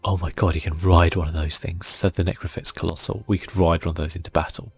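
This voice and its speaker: Low, gravelly, soft-spoken ASMR gamer man. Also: little pitch movement, quick pace. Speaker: gaming channel host. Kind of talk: soft-spoken ASMR video game commentary. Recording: faint music behind.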